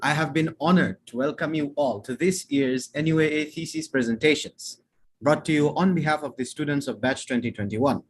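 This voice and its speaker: A man's voice: on the high side, normal speed, slightly rough. A young man, a student, giving an earnest and formal prepared welcome speech. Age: young adult. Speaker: student speaker.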